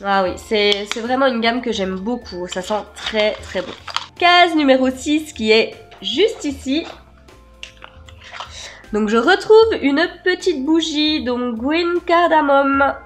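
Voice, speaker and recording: High-pitched woman, slightly hoarse. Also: slow pace, faint music behind. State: slightly hoarse and congested from a cold. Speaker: beauty vlogger, unboxing host. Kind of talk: casual product unboxing chat.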